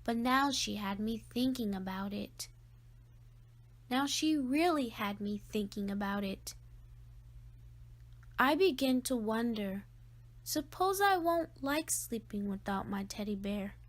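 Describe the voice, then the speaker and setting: A woman narrating a children's storybook aloud in a deliberate, expressive read-aloud style. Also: a little high. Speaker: storybook reader.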